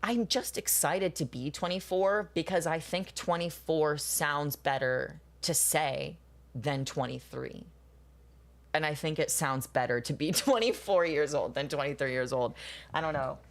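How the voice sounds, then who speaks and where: A woman's voice: sits low, moderate pace. A woman in her twenties chatting casually with viewers, rambling, swearing and laughing often. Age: 20s. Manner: rambling, profane, laughs often, answers chat. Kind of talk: casual chat while drawing.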